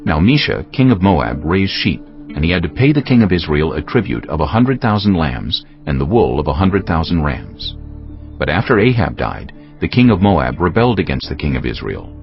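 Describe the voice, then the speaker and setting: A man narrating scripture from a script in a solemn, deliberate storytelling manner. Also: a bass voice, big pitch swings, vocal fry, highs cut off, faint music behind.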